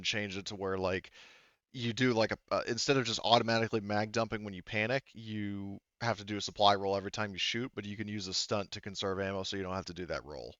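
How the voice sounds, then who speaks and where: A man's voice: lowish voice, fairly bright tone, no echo. A man acting as game master in a casual tabletop game, thinking aloud and explaining rules in detail. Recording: dull, slightly muffled close recording.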